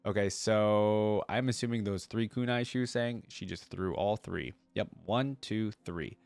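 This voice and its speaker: A man's voice: fairly low pitch, measured pace, gravelly, darkish tone, dry recording. A man hosting a reaction video, commenting casually in an informal, slangy, enthusiastic fan style. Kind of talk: casual reaction commentary and story read-aloud.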